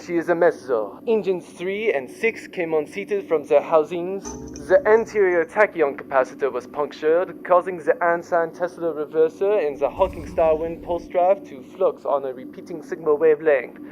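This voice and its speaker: A man's voice: a little high, slight background noise, lightly acted, poor audio. A man playing a fussy, technically minded ship's engineer with a French accent in a space adventure audio drama.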